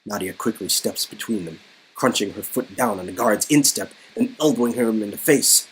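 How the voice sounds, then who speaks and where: A man's voice: on the low side, normal speed, slight background noise, rough recording. A man reading dramatic scripted narration from an interactive story game. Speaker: narrator and co-reader.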